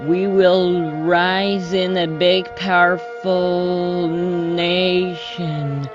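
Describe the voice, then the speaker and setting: A woman plays a defiant female head of state in an amateur-style radio drama. She speaks slowly and rather low, addressing a nation in crisis. The audio is dull and lo-fi. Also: level tone, over music. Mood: grave, defiant.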